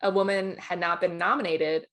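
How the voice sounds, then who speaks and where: A woman's voice: fairly low pitch, steady tone, normal speed, a bit bright. A woman co-hosting a casual film discussion, giving her opinions bluntly in an informal way. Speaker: co-host, film awards expert. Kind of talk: casual chat, film commentary.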